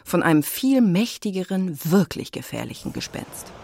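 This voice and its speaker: A woman's voice: fairly low pitch, big pitch swings, normal speed, gravelly, mellow, a little hiss or hum. A woman playing a nosy neighbour who narrates a children's radio play in a confiding, suspenseful way. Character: nosy gossipy neighbour woman telling the story. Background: faint music.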